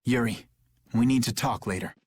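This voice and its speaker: Man playing an earnest, husky young knight. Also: mid-range voice, normal speed, darkish tone, close to an everyday voice. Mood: serious. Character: earnest, dutiful young male knight officer. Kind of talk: video game voice acting.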